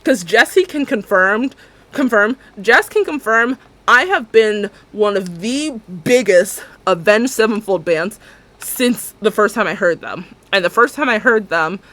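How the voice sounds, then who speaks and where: A young woman with a deep alto voice, chatting casually and rambling as she streams a building game, laughing often and talking to her viewers. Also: fairly bright tone.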